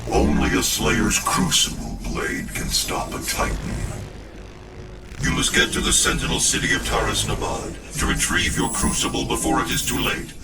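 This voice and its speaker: A man's voice: on the low side, very animated, moderate pace, gravelly. An actor playing a grave male guide in a video game, solemn and dramatic as he urges a warrior onward. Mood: grave, urgent. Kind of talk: video game cutscene acting. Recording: echoing, distant voice under loud music. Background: loud game music.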